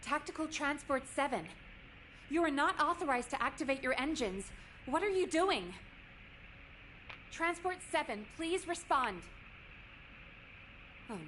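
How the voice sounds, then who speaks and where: Over noisy game audio comes a fairly high female voice, a military operations officer. She is formal and earnest, with worry under carefully articulated briefings, in scripted video game style. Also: animated, a little slow, long pauses, a bit bright. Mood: alarmed. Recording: noisy game capture with ambient sound.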